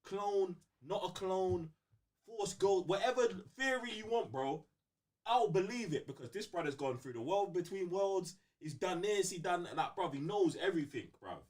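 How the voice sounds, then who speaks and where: A man's voice: high voice, animated, moderate pace, darkish tone. A man with a London accent in casual fan debate as a co-host, slangy and emphatic, repeating phrases for effect. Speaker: co-host of a fan talk show.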